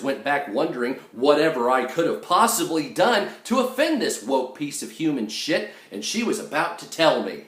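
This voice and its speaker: A man's voice: on the high side, a bit bright. A middle-aged man hosting a storytime video, telling personal stories in a brash, sweary, sarcastic way. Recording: echoey room.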